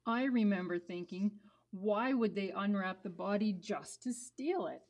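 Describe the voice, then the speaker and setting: A woman playing a gentle, hesitant young male puppet disciple, telling a children's story with measured care. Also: medium pitch. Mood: wondering.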